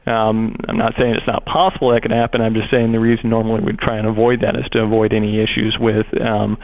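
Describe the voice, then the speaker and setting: A man's voice: completely flat delivery, fast talker. A man speaking as a prosecutor on a phone call, explaining procedure in a wordy, hesitant way. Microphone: telephone call. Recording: muffled low-bandwidth phone line.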